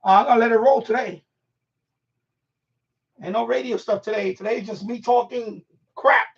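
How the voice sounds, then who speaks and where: A man's voice: extremely high pitch, steady tone, normal speed, gravelly. A middle-aged man hosting a casual, rambling live stream, talking informally and slangily as if to himself while he handles things. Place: room at home being set up as a radio shack. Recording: dull lo-fi sound, distant from mic.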